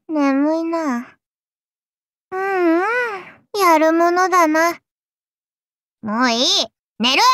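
A tiny, imperious oni godling for a game. The voice is very high and childlike, swinging widely in pitch at a slow drawl. She teases playfully in haughty, old-fashioned speech, in a dry, close sound. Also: fairly bright tone, no room sound, exaggerated voice. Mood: sleepy, languid. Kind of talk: game character voice lines.

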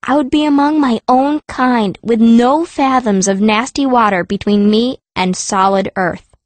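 Female audiobook narrator, higher register, fierce young heroine telling her story, very dry sound. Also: measured pace, no echo. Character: fierce, stubborn girl stone mage telling her story. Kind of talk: first-person scripted narration.

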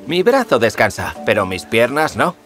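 A man's voice: mid-range voice, huge pitch swings, normal speed, a bit bright, faint music behind. A man voicing a good-natured, modest, overlooked young prince in a cartoon fairy tale, earnest and a little cheeky. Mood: friendly.

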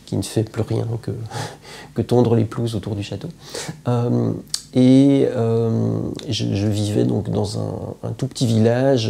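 Gravelly, halting man. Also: lowish voice, warm tone. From Belgium. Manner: reflective, halting, frequent hesitations. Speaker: poet and literature teacher. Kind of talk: interview monologue to camera. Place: indoor room with plants, at home.

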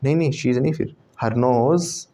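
A man's voice: mid-range voice, a little slow, mellow. Indian man drilling a class. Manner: teacherly, drilling students with repeat-after-me prompts. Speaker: English trainer. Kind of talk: classroom spoken English lesson.

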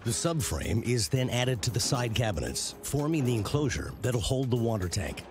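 A man reading scripted narration for a documentary series in a measured, punchy way. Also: mid-range voice, very animated. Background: prominent background music.

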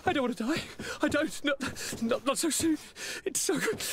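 Nervy, swooping young aristocrat. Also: male voice, animated, normal speed, fairly bright tone, slight background noise, full character voice. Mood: panicked, despairing. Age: young adult. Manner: dramatic, highly emotional. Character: theatrical, nervy young aristocrat, male, vulnerable. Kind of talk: scripted video game acting.

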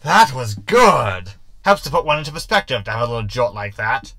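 A man's voice: medium pitch, sing-song, normal speed, over loud music. A man playing a deranged ship's hologram in a sci-fi comedy audio drama, theatrical, pompous and scornful, dropping mock Latin. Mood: scornful, self-pitying. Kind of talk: science fiction comedy audio drama acting.

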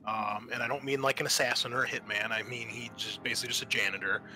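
A man running a tabletop roleplaying game as game master, narrating in a halting, improvised way. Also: medium pitch, steady tone, music in the background, poor audio. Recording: noticeable background noise.

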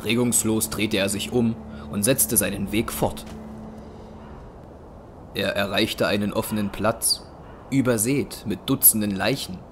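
A man's voice: fairly low pitch, fairly even intonation, measured pace, long pauses, noisy background. A man narrating a scripted dramatic action story as the narrator of an audio drama. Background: music.

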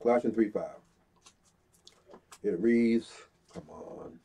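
Slow, dark-voiced stuffy man. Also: on the low side. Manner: slow, deliberate reading with pauses, stuffy-nosed. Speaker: Bible teacher. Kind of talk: reading scripture aloud. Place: small room before a brick wall. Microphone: fixed camera, head and shoulders. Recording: dull lo-fi sound.

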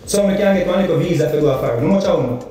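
A middle-aged Jamaican man rants about politics into a phone held out at arm's length, repeating himself and firing off rhetorical questions. His high voice sounds a little distant from the mic. The room echoes heavily, with faint music underneath. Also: lively intonation, rapid-fire, warm tone. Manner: insistent, rhetorical questions, emphatic repetition. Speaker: political vlogger. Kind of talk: political commentary rant. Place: indoor kitchen or room.

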